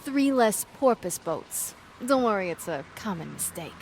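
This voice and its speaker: Scrappy, animated young woman. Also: medium pitch, normal speed, noisy background. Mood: dry, urgent. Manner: wry, informal. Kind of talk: video game voice acting.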